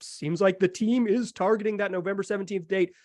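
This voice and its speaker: A man's voice: high-pitched, steady tone, fairly quick, darkish tone, no room sound, clean studio sound. An American man hosting a basketball podcast in a conversational style. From North Carolina, United States. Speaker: sports podcast host.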